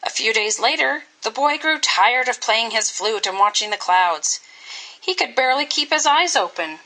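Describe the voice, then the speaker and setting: A woman reading a children's story aloud in an expressive, scripted style with dramatic, deliberate phrasing. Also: a little high, poor audio. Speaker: storybook reader.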